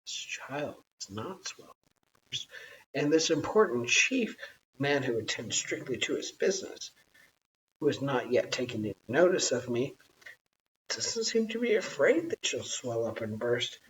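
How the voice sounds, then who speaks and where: Storybook part: earnest, awed tribesman done by a reader, highly animated, on a dull and hissy recording. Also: measured pace, distant mic. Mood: awed, cautious. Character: earnest, awed stranger man, anxious to be polite.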